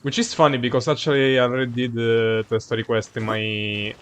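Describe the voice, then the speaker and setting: Halting male game streamer. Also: medium pitch, flat delivery, a bit bright, clear, resonant tone. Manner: halting, informal, rambling. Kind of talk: casual livestream chat over gameplay.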